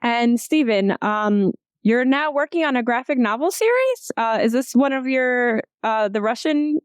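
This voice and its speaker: A woman's voice: a little high, expressive, a little slow, smooth, dry recording, clean studio sound. A woman hosting a casual interview, asking questions in a conversational way. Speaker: interview host.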